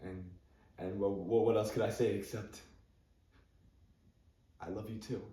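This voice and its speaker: A man's voice: fairly low pitch, fairly even intonation, unhurried, mellow, pitched below the performer's own voice, natural voice. A young man playing an awkward, grieving male English teacher who stammers, repeats his words and gives nervous little laughs, in a dramatic monologue. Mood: tender. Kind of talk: competitive dramatic interpretation monologue. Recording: roomy sound from a distant camera.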